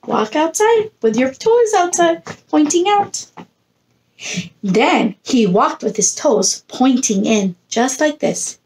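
Woman in her thirties, high voice, unhurried pace, picture-book storytime, coaxing, expressive, prompting young listeners. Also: slight background noise, poor audio. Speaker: preschool teacher reading to children. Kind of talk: animated picture-book read-aloud to young children. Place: indoor room against a plain textured wall.

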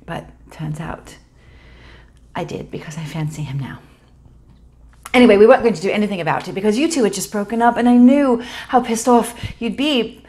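A woman's voice: medium pitch, brisk, a little mellow, voice pushed up, lightly acted. A woman performing a dramatic stage monologue as a guilty young woman, blurting out a confession in an urgent, breathless run-on. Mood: guilty, hushed. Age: young adult.

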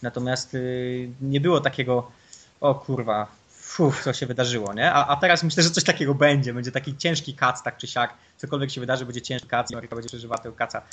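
A man with a voice of ordinary middle pitch, talking casually as a podcast guest. He lays out his views at length, circling back and restarting as he goes. His tone is relaxed and conversational. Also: quick pace. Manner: opinionated, rambling explanations. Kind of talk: casual chat.

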